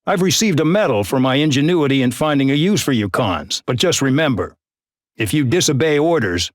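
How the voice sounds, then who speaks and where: An actor playing a harsh middle-aged colonel who commands a penal squadron, barking orders and threats with open contempt in a video game. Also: male voice, mid-range voice, lively intonation, brisk, no room sound, studio quality, close to an everyday voice. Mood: contemptuous. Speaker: commanding officer giving mission briefings.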